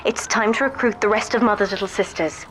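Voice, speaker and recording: A woman's voice: medium pitch, fast, a bit bright, a little hiss or hum, close to an everyday voice. A woman playing a devoted, determined young daughter guiding her father in a video game, earnest and articulate. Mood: determined urgency. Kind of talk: dramatic video game voice acting.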